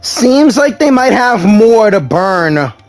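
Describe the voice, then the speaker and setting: High-pitched man commenting on a game. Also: sing-song, slow pace, faint music behind. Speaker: streamer.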